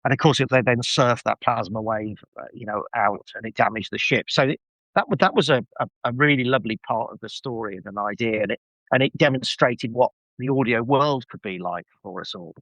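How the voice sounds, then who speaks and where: A man's voice: medium pitch, brisk, fairly bright tone, no room sound, lo-fi sound. A man speaking as a podcast guest in a casual review chat, effusive and enthusiastic in the way he talks.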